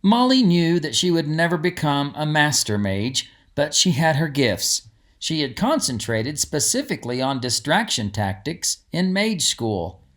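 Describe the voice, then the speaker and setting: A man's voice: fairly high pitch, sing-song, a little slow, fairly bright tone. A man who is an author reads his storybook aloud as scripted narration.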